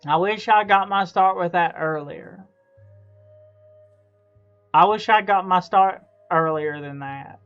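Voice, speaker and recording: A man in his thirties chats casually while streaming a game, with a smooth voice and a slow pace. He is halting, often circling back to repeat a phrase. The sound is slightly dull, with faint music underneath. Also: high voice, a bit bright. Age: 30s. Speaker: live streamer. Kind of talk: casual chat while gaming.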